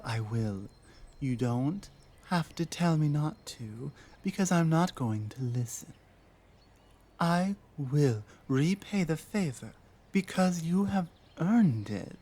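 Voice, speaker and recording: A woman voicing an earnest, honor-bound female orc in an ASMR roleplay, formal yet halting in manner. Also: low voice, dark tone, exaggerated voice. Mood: solemn, grateful.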